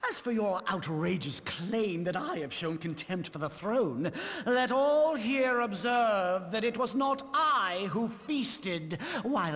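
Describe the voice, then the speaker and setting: A man's voice: high-pitched, a bit bright, big-room sound, full character voice. A man voicing a scheming, pompous nobleman in a video game, sardonic and contemptuous, with archaic formal diction. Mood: mocking. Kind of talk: theatrical video game voice acting.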